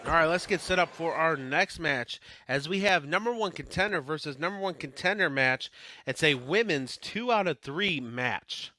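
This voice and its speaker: Bright-voiced man calling wrestling, voice wearing thin. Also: fairly high pitch, expressive, normal speed. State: voice starting to give out. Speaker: e-fed wrestling streamer and commentator. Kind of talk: live play-by-play wrestling commentary. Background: wrestling game crowd.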